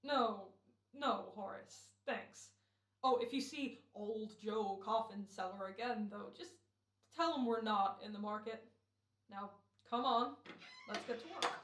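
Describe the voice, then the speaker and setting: A woman playing a brisk, bossy, wry and self-assured radio director in an old-time comedy radio play. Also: mid-range voice, fairly bright tone, echoey room, natural voice. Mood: bossy, impatient.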